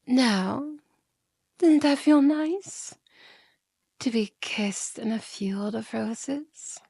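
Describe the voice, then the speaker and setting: A woman's voice: a little high, drawn-out words, vocal fry, dark tone, slightly rough, dry recording, clean studio sound, exaggerated voice. A woman playing a seductive, mocking yandere demon in an ASMR roleplay, coaxing and theatrical, often slipping into whispers.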